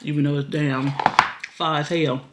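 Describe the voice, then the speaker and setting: A woman's voice: sits low, little pitch movement, normal speed, heavy background noise. A middle-aged woman vlogging casually to a phone camera, rambling and chatty, swearing offhand and laughing often. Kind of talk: casual vlog chat to camera.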